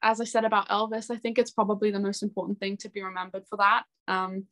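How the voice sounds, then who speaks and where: A woman in her twenties speaking as an interview guest in a casual, chatty and expressive way. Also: mid-range voice, quick pace. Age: 20s. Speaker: interview guest, singer-songwriter.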